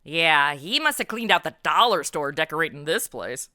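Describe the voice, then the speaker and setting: A sarcastic young sidekick, played by a woman in a comic radio play. She is bright and punchy, swinging lively through exasperated, blunt asides. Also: low-pitched, normal speed, no room sound, clean studio sound. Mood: dry, snarky. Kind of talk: comic audio drama acting.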